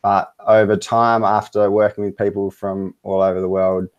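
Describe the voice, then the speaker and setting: An Australian man talking casually as an interview guest over a video call, relaxed and full of fillers. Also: lowish voice, normal speed, clean tone, lo-fi sound. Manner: relaxed, easygoing, lots of fillers and laughs. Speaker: interview guest, web design business owner.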